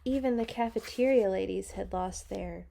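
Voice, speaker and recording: A woman reading a children's story aloud with dramatic, deliberate phrasing and chatty, playful asides. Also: mid-range voice, gravelly, warm tone, slight background noise. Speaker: read-along storyteller for children. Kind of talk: expressive picture-book read-aloud with chatty asides.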